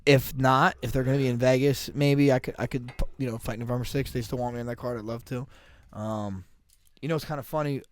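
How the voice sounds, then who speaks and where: A man's voice: medium pitch, steady tone, fairly quick, no echo. Rambling, profane man near forty. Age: 30s. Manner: profane, rambling, restarts often. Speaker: podcast co-host, fighter. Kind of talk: casual chat.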